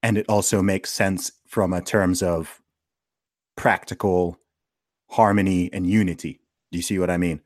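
A man's voice: low voice, fairly even intonation, moderate pace, no room sound, studio quality. A man in casual conversation, talking earnestly with many restarts, who grew up in Saudi Arabia.

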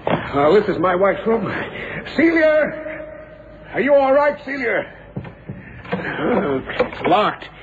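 A man's voice: sits high, very animated, takes it slowly, pauses often, background noise, exaggerated voice. An actor playing a courtly, effusive senator in an old radio drama, formal and theatrical in his delivery. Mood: alarmed. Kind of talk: 1960s-70s radio drama acting. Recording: muffled radio broadcast, crowd behind.

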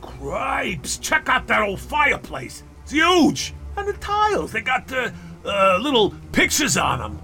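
An actor playing a plainspoken, easygoing sidekick in a radio drama, with casual slangy talk. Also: male voice, extremely high pitch, wildly swooping pitch, a little slow, gravelly, bright, forceful tone, exaggerated voice. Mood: awed. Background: music.

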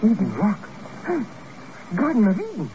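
An actor playing an excitable, chatty, nervous sidekick to a secret agent in a comic, complaining 1950s radio drama style. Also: male voice, sits high, takes it slowly, heavily acted. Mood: excited. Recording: muffled, noisy old radio recording.

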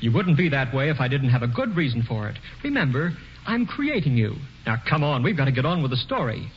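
Casting: a man doing an easygoing storyteller, lively pitch, 1940s radio play. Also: brisk, highs cut off, a little hiss or hum, natural voice. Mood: genial. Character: genial male storyteller author narrating. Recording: old radio broadcast over loud music.

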